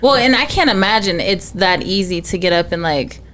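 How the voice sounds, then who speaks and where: A woman's voice: mid-range voice, expressive, normal speed, a bit bright. A woman in a casual, slangy conversation as a co-host, speaking conversationally. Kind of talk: casual chat.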